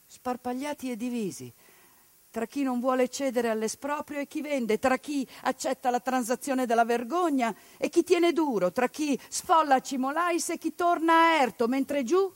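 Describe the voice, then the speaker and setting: A woman actress performing a theatrical monologue, narrating with passion and dramatic swings between hushed and loud delivery. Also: sits high, moderate pace, dry recording. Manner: impassioned, dramatic swings in loudness. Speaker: stage actress. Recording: radio broadcast of a stage piece.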